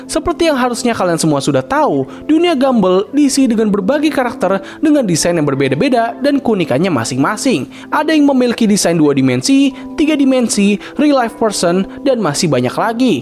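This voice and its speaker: Lively high-pitched male reviewer. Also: brisk, soft background music. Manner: casual slangy commentary addressing viewers. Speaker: cartoon review channel host. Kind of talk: scripted voice-over review.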